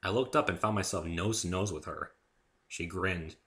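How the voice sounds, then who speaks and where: A man's voice: deep voice, normal speed, a bit bright. A man giving a dramatic reading of his own first-person story as narrator.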